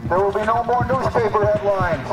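A man's voice: high-pitched, normal speed, lightly acted. A man playing a grandiose, bitter doomsday prophet, declaiming apocalyptic predictions in a portentous way. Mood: bitter ranting. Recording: echoey, distant, under loud music.

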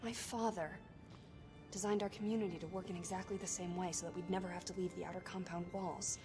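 An actress playing an earnest, hopeful young woman, a tech-savvy survivor in a video game, with a soft voice. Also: on the low side, fairly even intonation, fast talker, lightly acted. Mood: wistful, proud. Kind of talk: video game cutscene acting. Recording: music behind the voice. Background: music.

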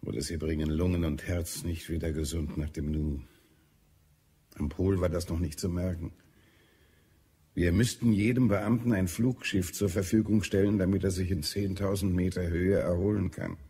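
An actor playing an irritable, weary Martian health adviser in an audio drama, speaking gravely. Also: male voice, sits low, fairly even intonation, dark tone. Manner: grave, edgy, deliberate. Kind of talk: German audio drama acting.

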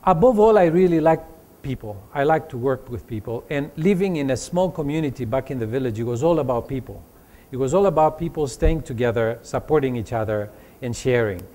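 A man's voice: medium pitch, a little fast. Man telling his story to students. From Greece. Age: 40s. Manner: animated storytelling with fillers and restarts. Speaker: conference speaker. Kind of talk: motivational talk to students.

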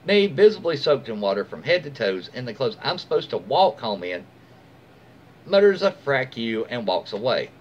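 A man's voice: mid-range voice, very animated, normal speed. An American man in his forties reads a story aloud informally, occasionally stumbling over words and correcting himself. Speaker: storyteller reading online posts aloud. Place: small room at home, indoors. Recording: dull lo-fi mic, faint background noise.

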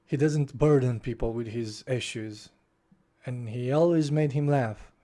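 Slow, mellow-voiced young man. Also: mid-range voice. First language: Romanian. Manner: passionate, gestures with both hands, restarts phrases. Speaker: book reviewer. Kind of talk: casual spoken book review. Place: small bedroom at home. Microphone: desk microphone in front of face, webcam framing.

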